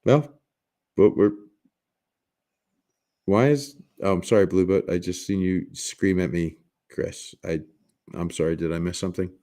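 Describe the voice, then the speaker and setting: A man with a raspy smoker's voice hosting a casual livestream, joking with viewers and rambling through restarts with bursts of laughter. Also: deep voice, a little slow, long pauses, dark tone. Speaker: livestream host.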